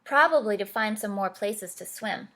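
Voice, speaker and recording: A woman voicing a wise, kind male otter who gently reassures his friend. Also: medium pitch, expressive, moderate pace, natural voice. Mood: reassuring.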